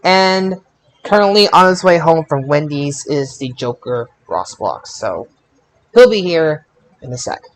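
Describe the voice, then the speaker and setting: A man with a slightly high voice hosts a casual podcast discussion. His delivery is hesitant and halting, often doubling back on words. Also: animated. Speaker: podcast host. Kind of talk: hosting a casual group wrestling discussion.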